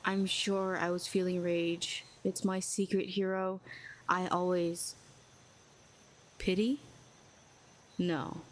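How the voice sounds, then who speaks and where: A woman's voice: lowish voice, little pitch movement, takes it slowly, pauses often, a little hiss or hum. A woman playing a proud, lonely telepathic villain who talks in a sardonic, cool and needling way. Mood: guarded. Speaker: audio roleplay performer. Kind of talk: scripted audio roleplay.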